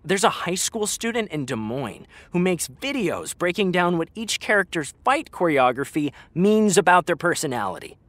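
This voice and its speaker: A man's voice: high-pitched, big pitch swings, normal speed, hardly any pauses, fairly bright tone, no echo. An actor playing a frank, exasperated young man talking to his best friend in a naturalistic, conversational audio drama style. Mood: earnest, pointed.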